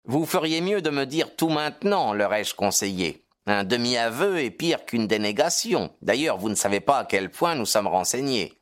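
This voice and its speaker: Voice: mid-range voice, sing-song, moderate pace, few pauses, lightly acted. A performer playing a self-satisfied male police detective in an audiobook, dogged and insinuating, with chatty asides. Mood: pressing. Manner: pompous, chatty, hesitating with asides.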